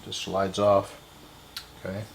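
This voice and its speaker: A man with a fairly low voice and plenty of fry hosts a hands-on repair video. He talks casually and haltingly, thinking aloud as he works. There is faint noise and some clicking in the recording. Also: completely flat delivery.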